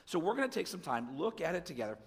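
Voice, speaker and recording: Male pastor, raspy from a cold, fairly high voice, casual sermon delivery, long hall reverb. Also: big pitch swings, fast. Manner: conversational, asides to the congregation. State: has a cold, slightly raspy. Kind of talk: sermon with scripture reading.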